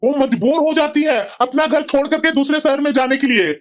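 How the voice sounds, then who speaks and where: A woman's voice: high-pitched, a little fast, lightly acted. A woman voicing a sensible middle-aged husband in a family moral-story cartoon, scolding and lecturing reproachfully. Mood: firm.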